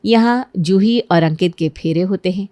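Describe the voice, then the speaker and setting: Low-voiced woman narrating a story. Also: normal speed, dark tone, no echo.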